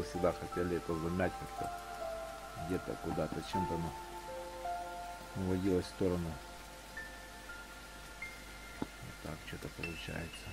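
A man with a lowish, gravelly voice rambles through a walkie-talkie chat, circling around his points. Music plays clearly under him. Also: rough recording. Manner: rambling, searching for words. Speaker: chat participant. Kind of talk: casual religious discussion. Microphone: walkie-talkie voice chat app.

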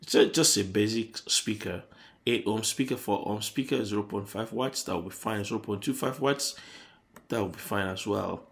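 A man hosting an electronics tutorial, explaining circuit diagrams on paper in a halting, self-correcting way. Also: on the low side, vocal fry.

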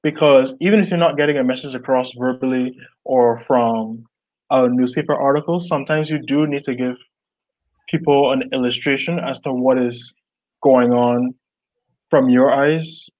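A young man from Barbados speaks in a mid-pitched voice, earnest and thoughtful, circling back and restarting his phrases as he works out his thoughts. He is on a video call through earbuds from a small room at home. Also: steady tone, normal speed, darkish tone, rough recording. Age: young adult. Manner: earnest, reflective, many likes and restarts. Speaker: artist guest. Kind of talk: casual video call interview.

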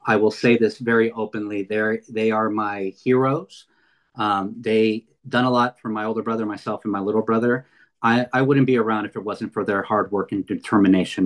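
A man in his fifties from California's San Fernando Valley, giving a heartfelt webinar talk over a video call with many fillers and restarts. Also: on the low side. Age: 50s. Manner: earnest, frequent fillers and restarts. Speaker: guest speaker. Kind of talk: webinar presentation.

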